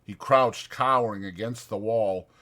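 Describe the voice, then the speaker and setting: A man's voice: fairly low pitch, a little slow, bright, forceful tone. A man narrating a novel as a dramatized audiobook.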